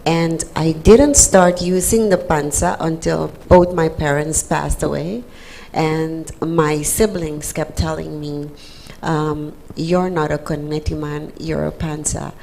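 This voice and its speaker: A woman's voice: deep voice, fairly even intonation, slow pace, gravelly, a little mellow, slight background noise, poor audio. A woman from Suriname whose first language is Dutch, speaking earnestly as a panelist introducing herself and her anti-racism work. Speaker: city anti-racism program manager.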